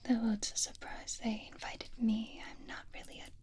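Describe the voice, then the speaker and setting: A woman playing a flirtatious, possessive female vampire in a whispered ASMR fantasy roleplay, teasing and intimate, with lingering pauses. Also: fairly high pitch, level tone, a little mellow, close to an everyday voice. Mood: conspiratorial, flirtatious.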